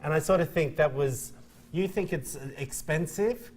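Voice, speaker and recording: A man's voice: a little high, normal speed, a little mellow, slight background noise. A man hosting a morning TV show, chatting casually and teasing himself with easy laughs. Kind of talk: casual studio chat.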